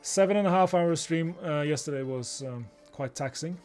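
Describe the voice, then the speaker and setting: Higher-pitched man live streaming a game, music behind. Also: normal speed, darkish tone. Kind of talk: casual stream chat mixed with reading game dialogue aloud. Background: video game music and game narration.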